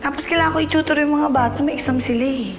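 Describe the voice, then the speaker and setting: An actress playing a practical, busy manager with a Filipino accent. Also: female voice, high voice, fairly even intonation, music in the background, lightly acted. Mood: brisk. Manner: brisk, practical. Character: busy, no-nonsense woman manager.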